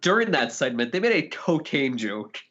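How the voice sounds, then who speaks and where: A man chats casually as a podcast co-host. His mid-pitched voice swings widely in intonation, and the recording is a little dull and lo-fi. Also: big pitch swings, normal speed, fairly bright tone. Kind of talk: casual chat.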